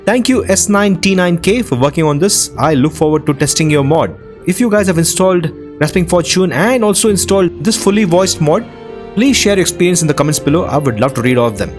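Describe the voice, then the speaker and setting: A man with a fairly high voice presents gaming news and addresses his viewers directly. Faint music plays behind his scripted delivery. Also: lively intonation, fairly quick. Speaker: host of a gaming news show.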